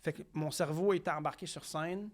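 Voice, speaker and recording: A young man with a Quebec French accent, a comedian telling stories on a podcast. He acts out quotes and talks in quick bursts with restarts. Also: fairly high pitch, steady tone. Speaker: comedian guest. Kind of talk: casual podcast interview storytelling.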